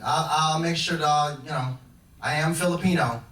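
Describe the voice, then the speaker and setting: A man's voice: fairly high pitch, fairly even intonation, normal speed, a bit bright. A young man from Chicago doing a stand-up comedy set on a club stage, talking in a loose, rambling way full of restarts. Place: comedy club stage before a laughing audience. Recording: echoey club room from far off.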